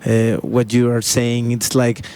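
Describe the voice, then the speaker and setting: A man running an interview, speaking haltingly as he gropes for the right words. His phrasing is non-native and slightly broken, with plenty of "uh" between his questions. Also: medium pitch, completely flat delivery, warm tone, clear, resonant tone.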